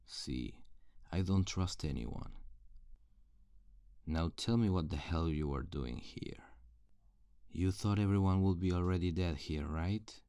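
A man playing a wary, threatening survivor of a zombie apocalypse in an ASMR audio roleplay, gravelly and menacing as he interrogates. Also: low voice, slow, mellow, no room sound, studio quality, heavily acted. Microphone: close, dry studio-style microphone.

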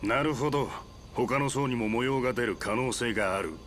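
A man's voice: mid-range voice, moderate pace, a bit bright, slight background noise, close to an everyday voice. Rugged, easygoing mentor played by a man. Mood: casual, interested. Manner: rough, familiar, casual. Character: rugged, easygoing middle-aged mercenary mentor. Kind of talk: anime-style game voice acting. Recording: music behind.

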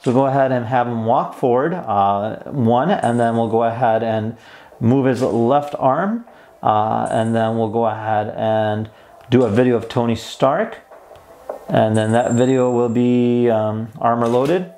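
A man's voice: medium pitch, fairly even intonation, measured pace, mellow, slight background noise. Easygoing man demonstrating a gadget. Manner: easygoing, mildly enthusiastic. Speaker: host of a toy review channel. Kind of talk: casual hands-on product demo. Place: plain indoor room at a table.

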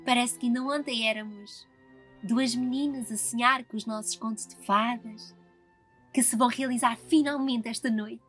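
A woman's voice: on the high side, steady tone, normal speed, a bit bright, faint music behind, lightly acted. A woman voicing a spoiled, bubbly young Southern belle heiress in a cartoon fan dub, gushing and giggly. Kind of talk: fan dub of animated film.

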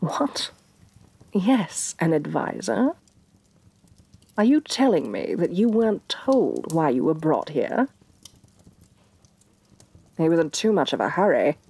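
A woman playing a haughty, domineering evil sorceress queen, soft-spoken and imperious in a fantasy ASMR roleplay. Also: deep voice, big pitch swings, slow pace, creaky, long pauses, husky, no echo, exaggerated voice. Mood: exasperated, commanding. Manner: imperious, condescending, theatrically disdainful.

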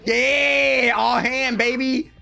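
Young male reactor, high and swooping voice, gravelly edges, slangy hype. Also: very slow, music in the background. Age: young adult. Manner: animated, slangy, hyped exclamations. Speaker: anime reaction host. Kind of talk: excited live reaction commentary. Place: small room at home, indoors. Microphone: desk microphone, close.

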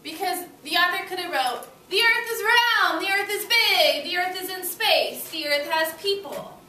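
A young woman, an elementary teacher, leading an interactive read-aloud for small children in an encouraging, expressive way. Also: extremely high pitch, normal speed. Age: young adult. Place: classroom with children seated on the floor. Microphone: far camera. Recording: roomy, noisy classroom, distant microphone.

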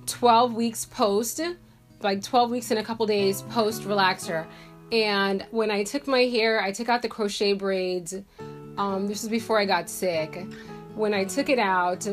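A woman in her thirties from Jamaica, congested from a cold, chatting casually to camera in her bedroom with lots of fillers. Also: medium pitch. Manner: chatty, rambling, many "like" and "um" fillers. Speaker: beauty vlogger. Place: bedroom at home, indoors.

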